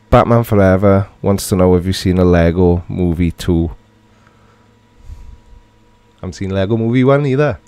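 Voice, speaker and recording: Welsh middle-aged male streamer, lowish voice, joking and chatty, dry close sound. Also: moderate pace, pauses often, mellow, clear, resonant tone, no echo. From Wales. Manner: chatty, joking, reads viewer comments aloud. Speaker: livestream host. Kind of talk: casual live chat reading comments.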